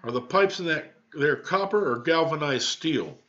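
Storybook father, read by a man: gruff, demanding, loud, lo-fi recording. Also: a little high, expressive, moderate pace. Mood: bossy. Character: gruff, bossy human father. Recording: dull webcam microphone sound.

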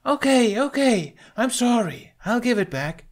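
A man's voice: very high voice, sing-song, measured pace, voice pushed up, full character voice. A man voicing a feisty young woman, a Viking thief who is defiant yet pleading, in a storybook reading.

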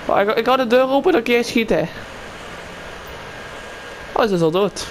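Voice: medium pitch, lively intonation, normal speed, poor audio. A gamer in casual roleplay, playing a helpful guide and patiently explaining things. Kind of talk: casual game roleplay chat. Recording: game and vehicle noise behind.

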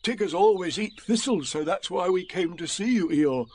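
A man's voice: high-pitched, animated, moderate pace, a little mellow, voice pushed up. A man voicing a kindly, earnest toy bear in a storybook, in a friendly, simple manner. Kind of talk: storybook character voice.

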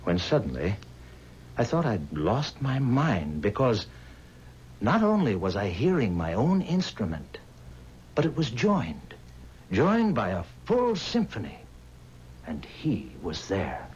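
An actor playing a haunted, pleading musician bound to the devil, speaking earnestly and formally in dramatic 1970s radio style. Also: male voice, fairly low pitch, wildly swooping pitch, takes it slowly, pauses often, darkish tone, heavily acted. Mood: haunted, regretful. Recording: dull, hissy 1970s radio recording.

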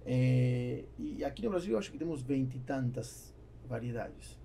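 A man talks as a podcast guest. His mid-pitched voice has a lot of vocal fry. He explains things with anecdotes on a close studio mic, with faint noise behind. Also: expressive, normal speed, dark tone. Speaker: podcast guest, business owner. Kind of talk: conversational interview, explaining with anecdotes. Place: small foam-treated podcast studio. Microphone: boom-arm studio microphone, close.

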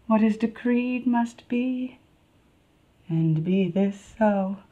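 Woman acting a high-voiced, lovestruck young noblewoman. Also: warm tone, lo-fi sound. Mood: resigned longing. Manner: theatrical, deliberate phrasing with pauses. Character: lovestruck young noblewoman, haughty, playful, wistful. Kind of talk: classical Shakespearean stage monologue.

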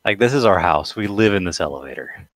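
A man's voice: low voice, animated, brisk, a bit bright. A man chatting and teasing his friends while playing a game together over a headset mic. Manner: teasing, jokey. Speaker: gamer. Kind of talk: casual gaming chat.

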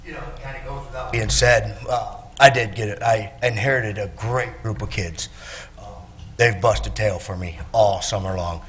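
A middle-aged man speaking into a podium microphone in a council chamber. His voice is low and his speech is plain and halting. A faint background noise sits under him. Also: a bit bright. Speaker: city employee being honored.

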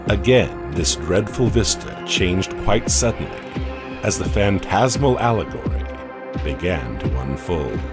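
Deep, slow male horror narrator. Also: sing-song. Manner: dramatic, measured storytelling. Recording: music bed underneath.

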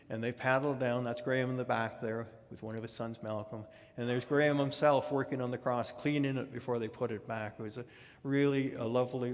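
An older man on a stage with a headset mic, telling a story to an audience with pauses and restarts. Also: mid-range voice. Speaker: speaker. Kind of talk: storytelling talk. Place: dark auditorium stage. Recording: long hall reverb in a large hall.

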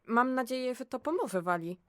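A woman's voice: a little high, expressive, normal speed, fairly bright tone, dry recording. A woman playing a chatty, curious and helpful office colleague in an audio drama. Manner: chatty, exclamatory, eager. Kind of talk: amateur audio drama acting.

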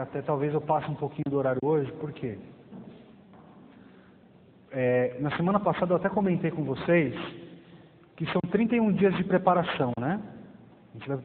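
A man in his thirties who leads a soft, quiet prayer and then gives a lively church formation talk from a lectern, recorded on a lapel mic. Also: fairly high pitch. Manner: prays softly with eyes closed, then lectures with rising emphasis and tag questions. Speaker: lay formation speaker. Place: small parish hall with plain walls. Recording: reverberant hall, thin phone-like sound, faint hiss.

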